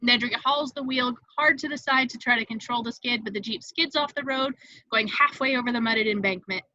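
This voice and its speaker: A woman's voice: fairly high pitch, a little fast, pushed and bright, no room sound, highs cut off. A woman reading scripted stage directions as the narrator of a table read, in a vivid storytelling manner.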